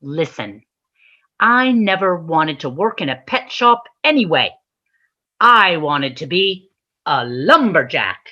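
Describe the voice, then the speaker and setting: A woman's voice: low-pitched, very animated, slow, pushed and bright, full character voice. A woman playing a shifty, hesitant pet shop keeper in a British radio comedy sketch. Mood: wistful, defiant. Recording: dull lo-fi recording.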